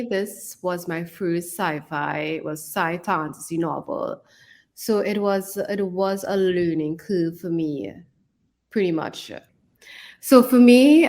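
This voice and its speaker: A woman co-hosting a casual podcast chat as an author, often checking in with "right?". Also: on the low side. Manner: conversational, often adds "right?" as a tag.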